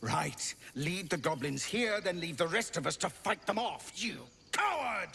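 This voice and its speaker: A man's voice: sits high, sing-song, unhurried, gravelly, bright, forceful tone, slight background noise, full character voice. A man playing an exasperated, commanding fighter in a fantasy video game, talking in a terse, argumentative way. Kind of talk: dramatic video game acting.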